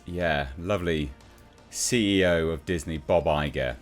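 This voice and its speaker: British male commentator, low-pitched, blunt and sarcastic, casual opinion delivery over music. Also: a little slow. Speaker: YouTube commentator.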